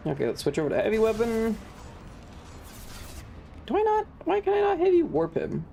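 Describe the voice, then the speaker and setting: A man's voice: very high-pitched, wildly swooping pitch, normal speed, vocal fry, dark tone. A man casually commentating a video game on a livestream and chatting with viewers, sweary and dramatically exasperated. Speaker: streamer. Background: video game music.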